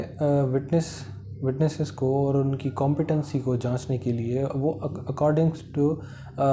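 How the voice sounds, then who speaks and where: Very mellow-voiced Pakistani man lecturing. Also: mid-range voice, slight background noise. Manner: mixes English legal terms into explanations. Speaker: law lecturer. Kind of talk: informal explanatory lecture.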